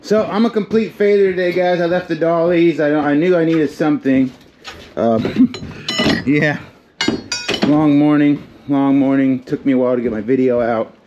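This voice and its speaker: A man's voice: on the high side, fairly even intonation, moderate pace, dull audio. A middle-aged man giving casual, joking running commentary to camera while digging through a storage container. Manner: chatty, joking, teasing. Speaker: storage unit reseller and vlogger. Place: outdoors at a shipping container in a storage lot. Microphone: action camera worn on the body. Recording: noisy outdoor camera audio.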